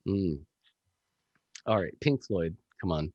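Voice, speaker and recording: A man with a gravelly voice, a musician chatting casually as a podcast guest, rambling with lots of fillers and swearing. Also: sits low, animated, takes it slowly, a little mellow, no echo, lo-fi sound.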